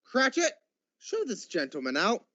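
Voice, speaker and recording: A man's voice: high-pitched, huge pitch swings, a little slow, bright, forceful tone, no room sound, dull audio, heavily acted. A man playing a miserly, grumpy old businessman in a radio drama, curt and scornful. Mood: cold, grumbling.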